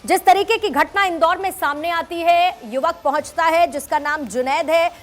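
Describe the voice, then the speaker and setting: A woman's voice: very high-pitched, moderate pace. A woman hosting a live TV news debate, insistent and pressing with her questions. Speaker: debate show host. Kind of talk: forceful live TV debate moderation.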